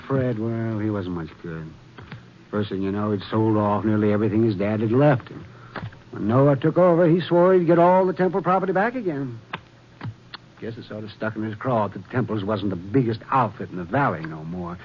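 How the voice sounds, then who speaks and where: An American actor playing a chatty, gossipy small-town hotel clerk with rustic, rambling speech in a 1950s radio western. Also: male voice, on the low side, lively intonation, normal speed, natural voice. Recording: dull, faintly noisy vintage radio recording.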